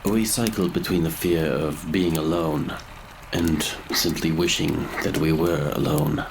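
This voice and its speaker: A man playing a haunted grave robber who narrates a gothic horror audio drama in brooding, literary, measured phrases. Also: rumbling low, fairly even intonation, gravelly, noisy background, exaggerated voice. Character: haunted male grave robber narrating his grim confession.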